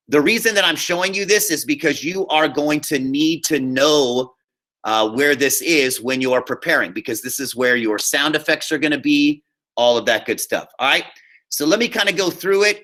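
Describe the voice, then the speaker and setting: A man with a slightly high, very bright voice teaches a high school class online. He explains step by step in an informal way, speaking straight to his students and checking in often. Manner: informal, addresses students directly with frequent check-ins. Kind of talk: live online class instruction, screen-share walkthrough. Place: remote video class call.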